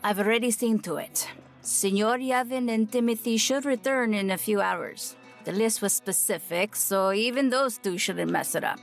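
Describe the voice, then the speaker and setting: A woman's voice: medium pitch, moderate pace, vocal fry, faint music behind, close to an everyday voice. A woman playing a dutiful, cautious quartermaster who speaks formally and deferentially in an audio drama. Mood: businesslike.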